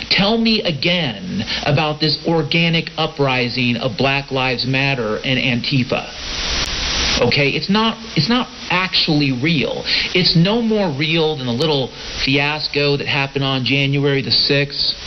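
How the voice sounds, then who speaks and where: Male American political talk host, slightly high voice, sardonic delivery, heard over clear shortwave hiss. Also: dull audio. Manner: opinionated, sardonic. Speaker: podcast and shortwave show host. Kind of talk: political monologue, reading and commenting on news. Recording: hissy, noisy shortwave radio reception. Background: loud static hiss.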